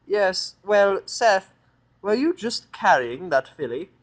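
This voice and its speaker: A man's voice: high voice, big pitch swings, takes it slowly, pitched above the performer's own voice, full character voice. A man voicing a refined female cartoon pony, poised and probing, with an affected genteel manner. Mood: probing, formal.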